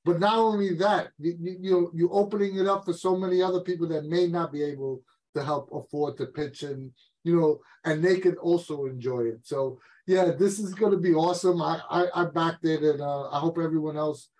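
A man's voice: on the high side, steady tone, brisk, darkish tone, low-quality recording. A man co-hosting an interview over a video call, casual and earnest, repeating words for emphasis. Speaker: interview co-host. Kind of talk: casual, earnest appeal to viewers. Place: indoor room on a video call.

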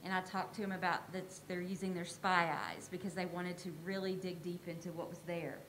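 A woman's voice: fairly low pitch, flat delivery, fairly quick, fairly bright tone, low-quality recording. An older woman, a teacher, giving an informal, explanatory presentation in a conversational way at a podium microphone through a face mask. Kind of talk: informal award presentation to a school board. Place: school board meeting room. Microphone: podium microphone, speaking through a face mask. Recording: audible room noise at a podium microphone.

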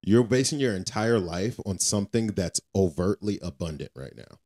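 Man, American accent, low pitch, podcast co-host, casual slangy talk, repeats himself for emphasis. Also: expressive, normal speed, a little mellow.

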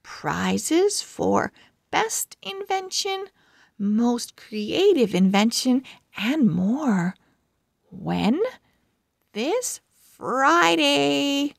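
A woman reading a children's storybook aloud as host, expressively and with deliberate phrasing. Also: sits high, creaky, no room sound, studio quality. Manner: deliberate, animated phrasing for young readers.

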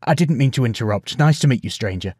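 A man's voice: medium pitch, lively intonation, quick pace, darkish tone, slightly rough, no echo, clean studio sound. A man voicing a polite, open, eager young prince in a storybook. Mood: polite, puzzled.

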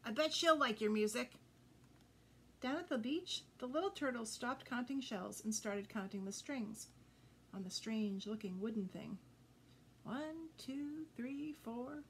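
An older woman reading a children's picture book aloud, expressive and addressing young listeners directly. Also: on the high side, measured pace, rough recording. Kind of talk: children's read-aloud storytelling. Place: indoors before a green backdrop. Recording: noticeable background noise.

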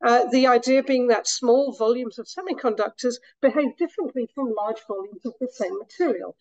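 A woman's voice: on the high side, brisk, darkish tone, dry recording, highs cut off. A woman professor of materials science giving a lecture in a room, her voice a little scratchy after a week of talking, explaining with frequent restarts. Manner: explanatory, self-deprecating, many restarts. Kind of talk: academic lecture.

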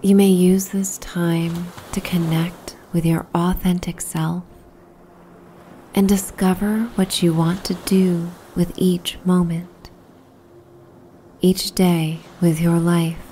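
A woman narrating a guided sleep meditation in a soothing, hushed, breathy and unhurried way. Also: on the low side, a little hiss or hum. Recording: soft background ambience soundscape. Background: relaxing ambient soundscape.

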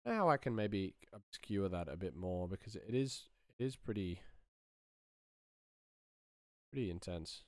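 A man in his thirties talks through his sound design work in a low voice. He sounds casual and muses aloud, recorded dry in a small room. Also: sing-song, moderate pace, darkish tone, dry recording. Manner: musing, thinking aloud while working. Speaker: sound designer giving a tutorial. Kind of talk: casual screen-share commentary.

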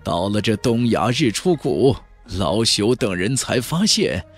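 An ancient clan elder from a fantasy audiobook, acted by a man. He is low and unhurried, reverent and sorrowful. He recalls the past in archaic words, as if lost in a dream, over soft music. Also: sing-song, heavily acted. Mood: nostalgic. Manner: slow, dreamy, archaic storytelling. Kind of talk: audiobook character voice in a fantasy novel.

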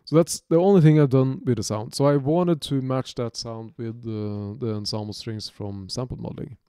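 A man in his thirties from Norway with a Norwegian accent, giving an unscripted music software tutorial from his home studio, talking haltingly with many restarts and fillers. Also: mid-range voice, steady tone, clean tone, dry recording. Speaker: music producer demonstrating software.

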